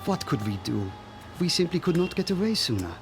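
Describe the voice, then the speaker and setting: An actor playing a worried, earnest scientist with a hesitant, formal manner in a radio drama. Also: male voice, on the high side, very animated, fairly quick. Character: earnest European scientist visitor, male, worried and apologetic. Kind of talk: vintage-style dramatic radio acting. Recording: music under the voice.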